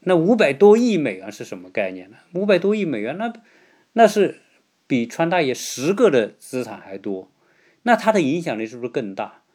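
A man's voice: fairly high pitch, sing-song, normal speed, darkish tone. A man hosting a podcast, delivering casual political commentary in a conversational way, with rhetorical questions, check-in tags and emphatic stresses. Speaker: podcast host.

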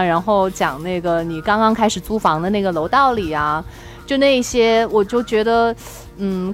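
A woman co-hosting a podcast in casual, earnest conversation. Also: medium pitch. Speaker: podcast co-host. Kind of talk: casual chat.

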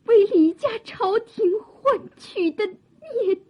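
A woman acting a young, wronged imperial consort in an audio drama, bitter, proud and defiant, with breathy, drawn-out tragic delivery. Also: very high-pitched, lively intonation, a little mellow, exaggerated voice. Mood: anguished, bitter.

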